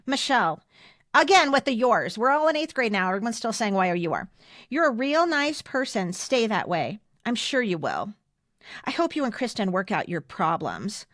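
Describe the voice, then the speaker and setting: A woman's voice: on the high side, big pitch swings, normal speed, a bit bright, dry recording, clean studio sound. An American woman in her fifties chatting casually as a podcast host, reading aloud and explaining things in asides. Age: 50s. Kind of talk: casual chat, reading old yearbook messages aloud.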